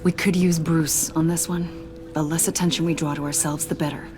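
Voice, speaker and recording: Actress as a low-voiced, earnest female agent. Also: steady tone, over music, lightly acted. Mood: thoughtful, guarded. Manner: measured, candid, slightly formal. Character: earnest, conflicted female government agent, adult, professional. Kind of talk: naturalistic video game dialogue.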